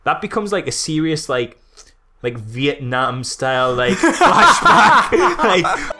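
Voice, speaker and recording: A man's voice: on the high side, sing-song, takes it slowly, crowd noise. A man co-hosting a podcast, chatting casually and critiquing a film in a jokey, mock-dramatic way. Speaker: podcast co-host.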